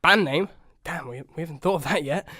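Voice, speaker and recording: A man's voice: fairly high pitch, a little fast, pushed and bright, no echo, studio quality. A man playing an earnest, modest horse who leads a band in an audio story, with a mild, hesitant manner. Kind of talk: children's story character acting.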